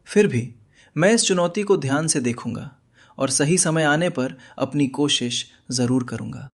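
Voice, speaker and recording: A man voicing a wise, patient court adviser in a children's moral-story cartoon, polite and deliberate. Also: on the high side. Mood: calm, cautious.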